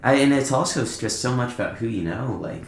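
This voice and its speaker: A man's voice: mid-range voice, lively intonation, quick pace, slight background noise. A young man casually telling anecdotes as a podcast guest, in an informal, rambling way. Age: young adult. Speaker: podcast guest, band musician. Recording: echoey, roomy podcast recording.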